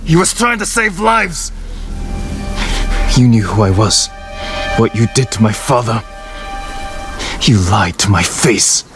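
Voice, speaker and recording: A man playing a stern, brooding samurai in a video game, speaking in terse, measured, formal phrases. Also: medium pitch, big pitch swings. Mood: cold fury. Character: stern, brooding samurai warrior, grave and resolute. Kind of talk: dramatic video game cutscene acting. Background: game music.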